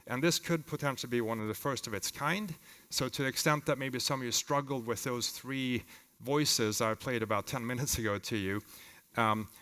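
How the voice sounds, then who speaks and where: A man with a Swedish accent giving an informal, halting conference presentation as a company founder. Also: mid-range voice, sharp tone. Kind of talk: live conference talk with demos. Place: conference hall with long reverb. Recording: reverberant hall sound.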